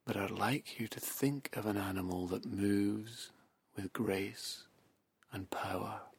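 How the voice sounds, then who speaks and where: A man guiding a hypnosis session, speaking softly and slowly in a half-whispered, soothing delivery. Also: fairly low pitch, fairly even intonation, breathy edge. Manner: soft, slow, half-whispered. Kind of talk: guided hypnosis narration.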